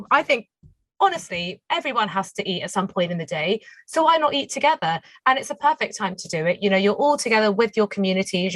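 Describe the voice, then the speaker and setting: A hoarse young woman, a guest baker, chatting enthusiastically on the phone with many fillers. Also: medium pitch, a bit bright, no room sound, poor audio. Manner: enthusiastic, lots of "you know" fillers. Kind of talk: phone interview. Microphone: phone line.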